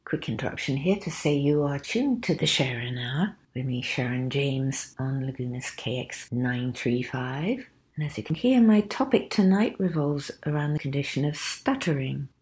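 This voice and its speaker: Woman, radio host, very low voice, measured announcing. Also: darkish tone. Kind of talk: radio show link.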